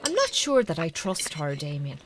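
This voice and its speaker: Prickly, animated Irish farm wife. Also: female voice, low voice, moderate pace, background noise. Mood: suspicious, hurt. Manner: sharp, sarcastic, accusing. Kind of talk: naturalistic radio drama acting.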